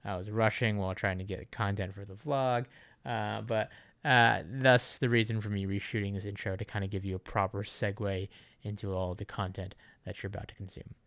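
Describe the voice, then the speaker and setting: A man's voice: lowish voice, fairly even intonation, fairly quick, creaky, a bit bright, dry recording. A man from Japan talking casually to viewers as a vlogger, in a conversational, wordy way that often rephrases itself. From Japan, Okinawa. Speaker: vlogger, channel host.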